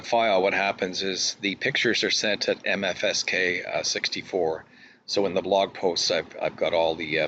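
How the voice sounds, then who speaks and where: A man giving a casual screen-capture demonstration as a hobbyist, talking in a halting, technical way. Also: deep voice, bright, forceful tone. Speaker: radio hobbyist presenter. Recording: dull lo-fi audio, faint noise.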